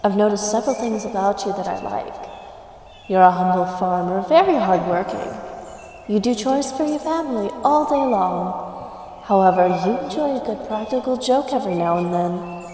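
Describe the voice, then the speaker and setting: A woman's voice: mid-range voice, warm tone, lightly acted. Woman acting a coy leprechaun in echoing reverb. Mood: admiring, teasing. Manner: coy, playful, old-fashioned formal wording. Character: shy, flirtatious, mischievous female leprechaun of the woods. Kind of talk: scripted roleplay audio addressed to the listener. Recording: roomy long reverb, faint noise. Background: outdoor nature sound effects.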